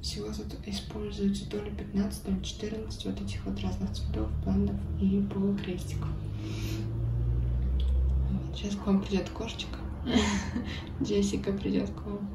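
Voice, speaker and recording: A slightly hoarse woman chatting casually about her needlework at home, sometimes dropping to a whisper. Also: mid-range voice, monotone, moderate pace, long pauses, music in the background. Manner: chatty, drops into whispers, laughs softly. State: a bit hoarse. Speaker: cross-stitch vlogger. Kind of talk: casual chat showing needlework. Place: home, at a table. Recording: echoey room, mic at a distance, noisy background.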